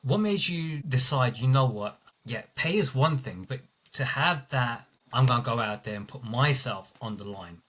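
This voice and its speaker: A man's voice: medium pitch, lively intonation, moderate pace, hardly any pauses, a bit bright, breathy edge. A man from South London with a South London accent, hosting an interview in a friendly way, with long rambling questions and restarts. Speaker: podcast host.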